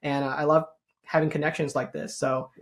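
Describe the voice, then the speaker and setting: Earnest man with a slightly squeaky voice. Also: flat delivery, fairly quick. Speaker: guest YouTuber. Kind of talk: casual interview chat. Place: home room with gaming chair.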